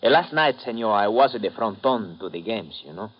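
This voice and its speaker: A hesitant Cuban dock worker. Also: male voice, lowish voice, big pitch swings, brisk. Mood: eager. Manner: hesitant, broken English with Spanish words. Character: humble, eager Cuban dock worker, grown man. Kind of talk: 1950s radio drama acting. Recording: dull old radio broadcast.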